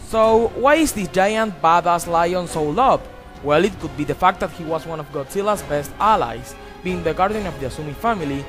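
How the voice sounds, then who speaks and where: A young man narrates in a high, very animated voice. His delivery is emphatic and punchy, full of exclamations and crude asides. Faint music plays under his scripted voice-over. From Argentina. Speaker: countdown video host.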